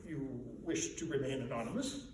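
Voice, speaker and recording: A man's voice: medium pitch, normal speed, darkish tone, pitched below the performer's own voice, close to an everyday voice. A man reading a storybook as a polite, portly Victorian charity collector who speaks formally and a little hesitantly. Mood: taken aback. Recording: echoey room, distant mic, faint noise.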